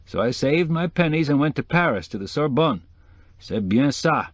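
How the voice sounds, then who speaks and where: A man's voice: on the high side, animated, normal speed, no echo, pitched above the performer's own voice. A man voicing a witty, flamboyant decorator in an audiobook, sprinkling French phrases into hip slang. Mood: gleeful, relishing. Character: flamboyant, witty male interior decorator, sly and gushing. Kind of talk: acted audiobook dialogue.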